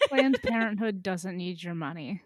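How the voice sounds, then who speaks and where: A woman co-hosting a podcast, chatting casually in a blunt, deadpan, teasing way. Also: on the low side, normal speed, no echo, studio quality. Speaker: podcast co-host. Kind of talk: casual banter.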